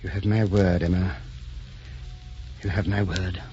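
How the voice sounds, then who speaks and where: An actor playing an aging, dignified aristocratic husband, grave and measured, in an old-time radio drama. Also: male voice, deep voice, flat delivery, warm tone, soft background music. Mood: stern, wounded. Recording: dull, noisy old radio recording.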